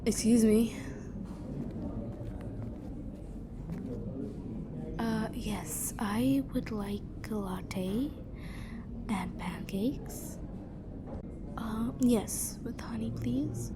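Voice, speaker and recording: Slow, teasing goth girl over noisy ambience woman acting. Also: mid-range voice. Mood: polite. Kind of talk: intimate roleplay audio addressed to the listener. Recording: clearly noisy background ambience.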